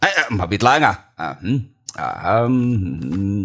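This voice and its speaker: A man's voice: fairly low pitch, sing-song, measured pace, sharp tone, exaggerated voice. A man acting in an Ilocano radio comedy drama as a hapless working man with an Ilocano accent, stammering, whining and pleading. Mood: hesitant. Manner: stammering, hesitant, exclaiming.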